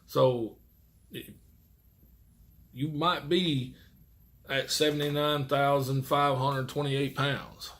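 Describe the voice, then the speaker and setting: A man's voice: medium pitch, steady tone, takes it slowly, long pauses, fairly bright tone. A middle-aged man, a truck driver chatting casually and folksily in a rambling way to his viewers. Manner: folksy, rambling, mild swearing. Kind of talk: casual chat to camera.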